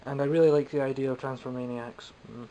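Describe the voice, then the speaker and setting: A young man with a Scottish accent talks off the cuff from behind a home camera, dry and self-mocking as he rambles. His voice sits at an ordinary middle pitch and sounds a little phlegmy. A faint hiss runs under the recording. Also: fairly even intonation, quick pace. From Scotland. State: phlegmy, coughing. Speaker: toy collector vlogger. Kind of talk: casual unscripted toy haul chat. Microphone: camera mic, speaking from behind the camera.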